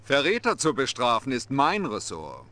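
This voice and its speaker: An actor playing a stern, middle-aged Soviet general, commanding and formal, in a game cutscene briefing. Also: male voice, mid-range voice, huge pitch swings, moderate pace, pushed and bright. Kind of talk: dramatic military briefing.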